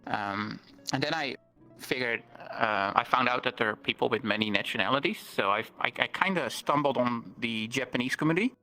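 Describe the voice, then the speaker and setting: Bright-voiced Dutch man, earnest. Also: mid-range voice, a little fast, soft background music, low-quality recording. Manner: earnest, plain explaining with many ums. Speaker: guest streamer. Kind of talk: interview conversation.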